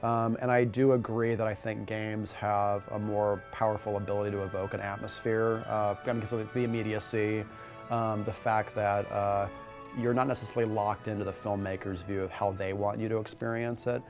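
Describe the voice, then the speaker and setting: Low, even-toned man explaining things. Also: moderate pace, music in the background. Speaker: game developer. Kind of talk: explanatory interview talk.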